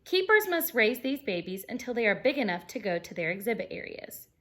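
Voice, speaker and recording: A woman's voice: on the high side, very animated, a little fast, a bit bright. A woman teacher reading a children's picture book aloud expressively, explaining things simply and putting questions to her young listeners.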